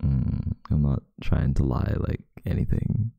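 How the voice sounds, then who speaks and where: A young man doing an intimate ASMR boyfriend roleplay as a sleepy, affectionate, teasing partner in bed, murmuring softly and drowsily close to the microphone. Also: a bass voice, lively intonation, measured pace, no room sound, highs cut off. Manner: soft, drowsy murmuring, partly breathy and whispered. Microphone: close microphone, dry sound.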